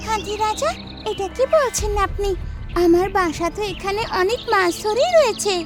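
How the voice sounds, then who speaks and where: A small, pleading mother bird from a children's cartoon. The voice sits extremely high and thin. Loud playful music plays behind her. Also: lively intonation, normal speed. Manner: pleading, polite.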